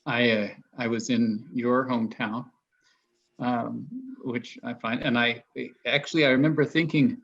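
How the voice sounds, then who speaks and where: A man from Texas, a translator speaking as a guest in a relaxed conversation. He pauses often, trails off and restarts his sentences. The sound is muffled and lo-fi. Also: medium pitch. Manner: hesitant, many restarts and ums. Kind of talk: casual panel conversation.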